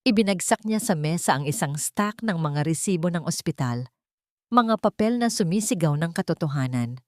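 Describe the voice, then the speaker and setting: A woman's voice: fairly low pitch, expressive, normal speed, darkish tone, no echo, studio quality. A woman reading a scripted dramatic story as narrator, with emotive delivery.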